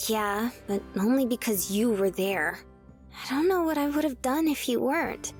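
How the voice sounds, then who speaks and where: A woman's voice: fairly high pitch, moderate pace, lightly acted. An actress playing an apologetic, affectionate young woman in a visual novel. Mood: earnest, apologetic. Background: soft game music.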